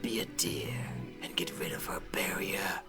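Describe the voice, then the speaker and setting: A voice actor playing a cruel male devil gang leader in a visual novel, moving from sneering whispers to sudden furious shouts. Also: low-pitched, steady tone, moderate pace, music in the background, lightly acted. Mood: menacing whisper.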